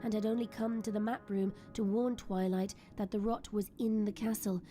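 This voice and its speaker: A woman's voice: mid-range voice, level tone, normal speed. A woman reading scripted, dramatic story narration as the narrator of an audio drama. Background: soft music.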